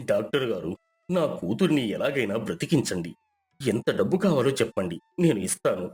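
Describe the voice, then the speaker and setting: A man's voice: mid-range voice, sing-song, normal speed, a little mellow, close to an everyday voice. A man playing a wealthy village landlord and desperate father, solemn and authoritative, in a moral story.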